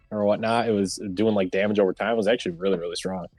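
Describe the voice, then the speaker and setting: A man with a fairly low voice hosts a casual group discussion. He sounds enthusiastic and full of opinions. The recording is dry and close, with faint music playing behind him. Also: steady tone, fast, a little mellow, dry recording. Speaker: host of round-table discussion. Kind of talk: casual group chat about movies and games.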